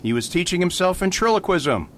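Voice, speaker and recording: An actor playing a mild, whimsical priest detective in a radio drama, musing aloud with theatrical emphasis. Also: male voice, very animated, fairly quick. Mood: patient explaining. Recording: faint rumbling background noise.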